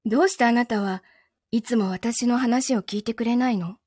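A woman's voice: a little high, normal speed, darkish tone, slightly rough, no room sound, studio quality. A woman playing a betrayed, possessive young lover in a live audio drama, with a Kansai Japanese accent and a controlled, coldly teasing manner. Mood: cold, commanding.

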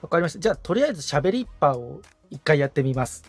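A man's voice: on the high side, big pitch swings, rapid-fire, breathy edge, no echo, soft background music. A man in a casual, polite conversation as a podcast trainee, agreeable and thinking aloud as he answers. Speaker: podcast assistant and trainee being coached.

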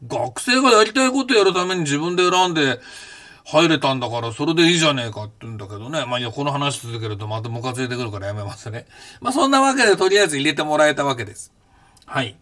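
Animated middle-aged man telling old stories. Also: on the high side. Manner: animated, blunt storytelling with rough casual speech. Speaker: podcast host recounting his past. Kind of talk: casual spoken memoir.

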